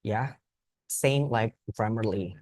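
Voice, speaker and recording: A man's voice: on the low side, slow, darkish tone, no room sound. Earnest young man with Indonesian accent. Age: young adult. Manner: earnest, plain phrasing with frequent restarts and repeated words. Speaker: student speaker at a youth forum. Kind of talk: informal presentation.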